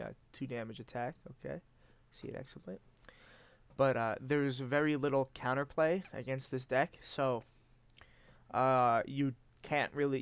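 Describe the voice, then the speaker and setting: A man's voice: mid-range voice, smooth, no echo, highs cut off. Stuffy-nosed man commentating a card game. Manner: rambling, many "uh" fillers, restarts. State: congested, stuffy nose. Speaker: host and commentator.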